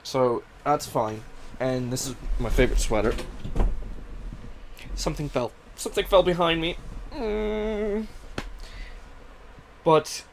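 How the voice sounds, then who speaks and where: A man's voice: fairly high pitch, steady tone, slow pace, long pauses, a little hiss or hum. A young man from Canada who speaks with a mix of British and Canadian accents, hosting a casual, rambling, self-deprecating personal monologue. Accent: British mixed with Canadian. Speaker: podcast host.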